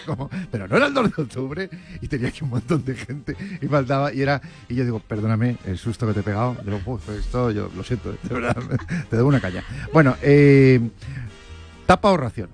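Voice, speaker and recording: Fast-talking jokey Spanish-speaking male host. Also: medium pitch, animated, faint music behind. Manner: jokey, theatrical, emphatic exclamations. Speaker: podcast host. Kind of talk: playful interview chat.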